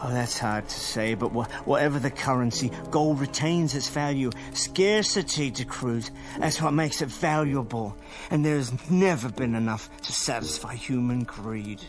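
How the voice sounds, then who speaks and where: An actor playing a pedantic, greedy and weary professor in a radio drama, stammering anxiously and talking down to others. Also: male voice, mid-range voice, moderate pace, lightly acted. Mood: lecturing. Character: educated older man, a greedy, weary professor turned criminal schemer. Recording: music under the voice.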